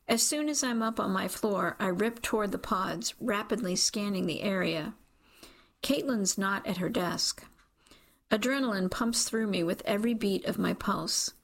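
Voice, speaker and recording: Creaky-voiced woman reading a novel. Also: mid-range voice. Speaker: audiobook reader. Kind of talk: scripted first-person fiction narration.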